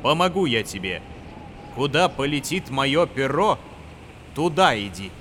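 Guy doing a wise old eagle in a storybook, calm and measured, faint noise behind. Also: medium pitch. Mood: grave.

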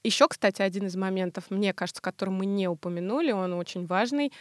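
A woman speaking as an expert guest in a podcast interview, explaining in a colloquial, animated way. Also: mid-range voice, fast talker, no echo, studio quality.

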